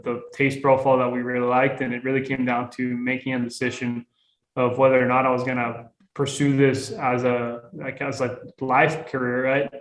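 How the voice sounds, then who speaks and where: A young man from Costa Rica telling his founding story as a guest, relaxed and rambling, with many fillers and restarts. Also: mid-range voice, reverberant, poor audio. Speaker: guest, tequila brand founder. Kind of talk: casual interview storytelling.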